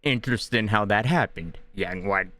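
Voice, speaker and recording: A man's voice: lowish voice, moderate pace, bright, forceful tone. A man streaming a game, chatting casually and joking at the characters. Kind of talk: casual gameplay commentary.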